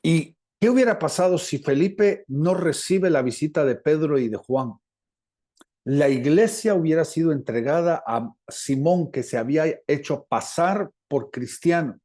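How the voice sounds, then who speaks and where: A man with a Latin American Spanish accent preaching a teaching sermon, deliberate and repeating phrases for emphasis. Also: a little high, lively intonation, dark tone. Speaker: preacher, apostle.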